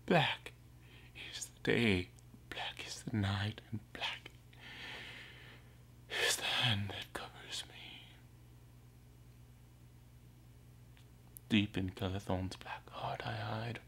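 A man acts out a ragged, mad prophet in a dramatic monologue. The voice sits fairly low and moves very slowly, brooding and anguished. It shifts between hushed, breathy whispering and ringing, theatrical cries. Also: steady tone, exaggerated voice. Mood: haunted, whispering.

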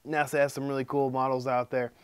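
A man's voice: medium pitch, quick pace, smooth, no room sound. A man in his thirties with a cold, casually showing off 3D-printed gifts in his home workshop, rambling with lots of ums. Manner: relaxed, rambling, with many ums and uhs. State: getting hoarse from a cold. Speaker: 3D printing YouTuber. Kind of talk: casual show-and-tell.

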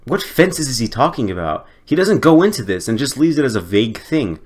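A man's voice: mid-range voice, sing-song, brisk, breathy edge. A man commenting on a speech in a sarcastic, exasperated and profane way. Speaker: video commentator. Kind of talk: reaction commentary.

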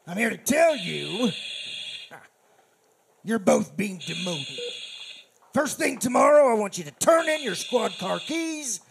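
A middle-aged man playing a gruff, sarcastic, irritable police boss in a comedic audio drama. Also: sits high, very animated, slow, pauses often, exaggerated voice. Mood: irritated. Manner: sarcastic, barking. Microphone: studio mic.

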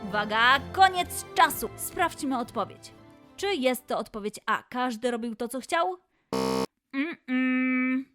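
A woman's voice: sits high, expressive, slow, fairly bright tone. A woman presenting a scripted quiz for children as host, announcing and addressing the young listeners directly. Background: music.

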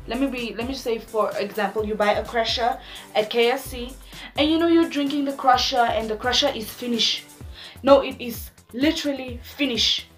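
A woman in her twenties with a Namibian accent, chatting casually to viewers in a vlog with animated slangy asides. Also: on the high side. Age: 20s. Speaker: YouTuber.

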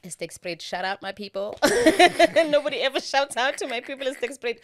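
A South African woman from the Eastern Cape, a guest telling her story in casual conversation, laughing often and pausing with fillers. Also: high-pitched, sing-song.

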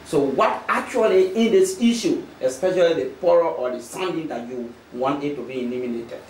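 A man reporter, asking his question hesitantly with many pauses. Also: on the high side. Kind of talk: press question. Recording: echoey room with light background noise.

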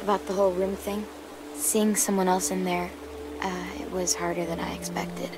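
A woman's voice: on the low side, flat delivery, moderate pace, very noisy, natural voice. A woman playing a guarded young survivor in a game drama, understated and awkwardly sincere. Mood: awkward, wistful. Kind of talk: naturalistic video game drama acting. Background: music.

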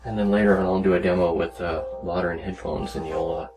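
A man giving a casual, rambling, unhurried demonstration of a hobby project, talking as a maker. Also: low-pitched, steady tone, music in the background. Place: small cluttered indoor workshop.

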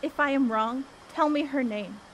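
A woman plays a determined young heroine in an audio drama set in ancient Greece. Her voice is fairly high, with a bold, earnest, declamatory delivery. There is faint noise behind her. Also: moderate pace, a bit bright. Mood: passionate, challenging.

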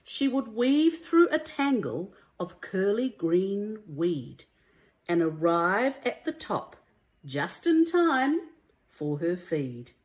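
A woman reads a children's storybook aloud like a primary teacher, in a deliberate, emphatic and expressive way. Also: mid-range voice. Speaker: primary school teacher reading a story to young pupils.

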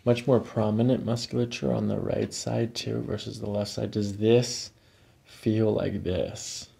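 A very gravelly American man with a dark, relaxed voice talks through a chiropractic exam. He explains calmly and puts short, clipped questions to the patient. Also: lowish voice, measured pace. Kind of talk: hands-on clinical exam narration, ASMR. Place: small carpeted clinic office, indoors.

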